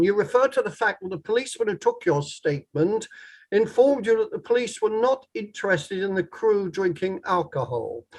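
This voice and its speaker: A man's voice: high voice, full character voice. A man reading a courteous, precise barrister in a courtroom play, formal with slightly hesitant openings. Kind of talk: table read of a courtroom play.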